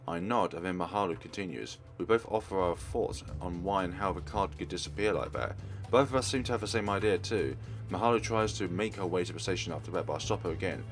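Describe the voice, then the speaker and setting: A man's voice: sits low, fast. A man hosting a let's play, reading visual novel dialogue and narration aloud in character. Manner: reads in character, shifting between parts. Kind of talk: dramatic read-aloud of visual novel text. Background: soft game music.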